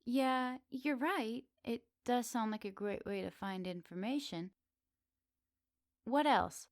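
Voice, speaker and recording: Woman playing a slow, teasing flirty witch. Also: fairly high pitch, no room sound, clean studio sound. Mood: curious, amazed. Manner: teasing, coy, expressive with soft pauses. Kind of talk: ASMR roleplay, addressed intimately to the listener.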